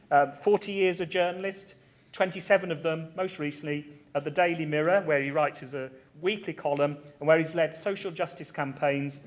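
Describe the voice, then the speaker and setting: Guy hosting a book festival panel, bit of a higher voice, introducing speakers. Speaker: panel chair. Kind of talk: introducing panellists at a live literary event.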